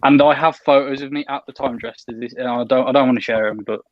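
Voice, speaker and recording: A man's voice: medium pitch, steady tone, fast, dull audio. A young man telling a story on a video call, often stopping and restarting as he goes. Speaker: podcast guest.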